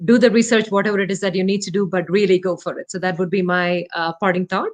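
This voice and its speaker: A woman whose first language is Malayalam, speaking as an interview guest from her home over a remote call. She talks thoughtfully and modestly, pausing and restarting with fillers as she searches for the right words. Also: mid-range voice, fairly even intonation. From India. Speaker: guest, startup CEO. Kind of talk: casual interview talk.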